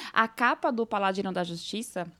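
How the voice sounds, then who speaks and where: A woman's voice: mid-range voice, lively intonation, normal speed. A Brazilian woman chatting casually as a podcast co-host. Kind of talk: casual chat.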